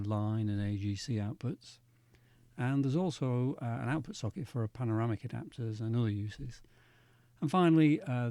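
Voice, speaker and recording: A man narrating an instructional equipment demonstration in a measured, methodical way. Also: medium pitch, dark tone, no room sound. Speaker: presenter demonstrating vintage radio equipment.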